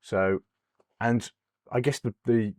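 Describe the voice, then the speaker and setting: A middle-aged man, hoarse from a cold, hosting a screen-recorded spreadsheet tutorial. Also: mid-range voice, fairly even intonation, slow, darkish tone, no room sound, studio quality. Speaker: host of an Excel tutorial channel.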